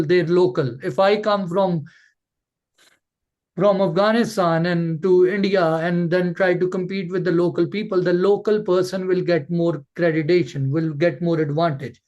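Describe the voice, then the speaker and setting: High-pitched man giving blunt advice. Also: fairly even intonation, normal speed, a little mellow, muffled recording. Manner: blunt, emphatic, repeats words. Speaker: coach hosting a Q&A session. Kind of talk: informal advice to online callers.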